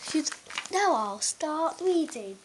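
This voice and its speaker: A woman reading a children's bedtime story aloud, haltingly, stumbling over words and correcting herself. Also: sits high, very animated, a little hiss or hum, rough recording. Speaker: storybook reader.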